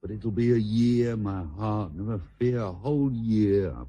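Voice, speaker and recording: A famous, war-weary admiral in a radio play. His voice is low, mellow and a little husky. He is gentle and courtly, affectionate and somewhat vain. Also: unhurried, muffled recording. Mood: reassuring. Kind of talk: British stage-play radio acting.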